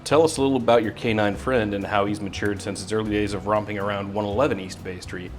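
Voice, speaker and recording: A man with a fairly low voice hosts a casual interview. He puts his questions conversationally, with faint music underneath. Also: steady tone, quick pace.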